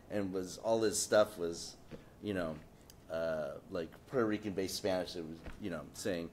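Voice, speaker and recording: Low-voiced man telling a rambling story. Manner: rambling, many fillers, rising indignation. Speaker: panelist. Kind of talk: casual anecdotal storytelling.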